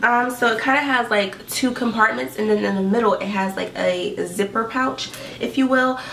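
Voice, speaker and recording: A woman's voice: fairly high pitch, normal speed, gravelly, noisy background. A young woman chatting casually to camera in her bedroom, a bit stuffy and sniffly from allergies, chatty and upbeat with lots of "like" and "um". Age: young adult. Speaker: beauty and fashion vlogger. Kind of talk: casual what's-in-my-bag chat. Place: bedroom indoors. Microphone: camera in front at desk distance. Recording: roomy bedroom echo, mic a bit far.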